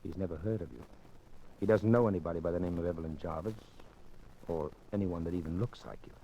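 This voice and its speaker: A man's voice: low-pitched, fast, warm tone, a little hiss or hum. An actor playing a desperate young man racked with guilt, urgent and pleading and sometimes dropping to a whisper, in old-time radio drama style. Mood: uneasy. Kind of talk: 1940s radio acting. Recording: dull lo-fi old radio recording.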